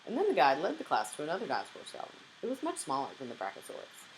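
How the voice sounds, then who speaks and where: Woman, children's storybook narration, expressive, low-pitched, faint background noise. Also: very fast, poor audio. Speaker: teacher reading aloud to children.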